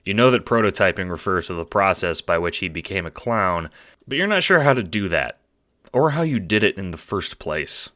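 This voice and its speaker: Male narrator, audio drama: low, bright and forceful, wry dramatic storyteller. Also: lively intonation, moderate pace. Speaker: narrator and producer. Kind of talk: scripted second-person audio drama narration.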